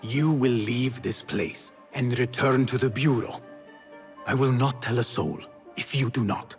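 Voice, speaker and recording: An actor playing a determined young male assassin in a video game, serious, measured and probing. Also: medium pitch, soft background music, lightly acted. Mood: stern, serious. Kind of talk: dramatic game cutscene acting.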